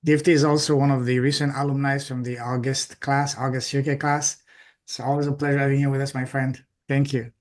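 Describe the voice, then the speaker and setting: A man's voice: mid-range voice, little pitch movement, fairly quick. A man hosting a group call, effusive in greeting and praising guests. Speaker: host of the call.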